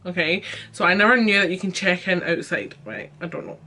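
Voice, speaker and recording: Young Scottish woman vlogger, exasperated storytime rant, bright forceful tone. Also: on the low side, a little fast. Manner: chatty, exasperated storytelling with many fillers.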